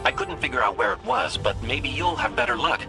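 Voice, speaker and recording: Creaky, expressive little robot helper. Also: male voice, on the high side, brisk, over music. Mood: helpful, concerned. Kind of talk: video game voice acting.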